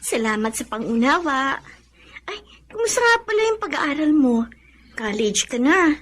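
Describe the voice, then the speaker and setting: Sweet admiring young filipina bestie, radio drama, high voice that goes up n down a lot. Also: female voice, moderate pace. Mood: warm, admiring. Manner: expressive, friendly. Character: warm, admiring young female best friend.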